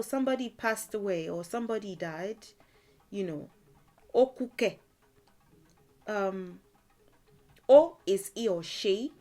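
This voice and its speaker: A woman explains vocabulary in an unhurried online lesson. Her voice sits at a middle pitch and she takes her time. She thinks aloud, with fillers and restarts as she searches for examples. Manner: slow, patient explaining. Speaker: language teacher.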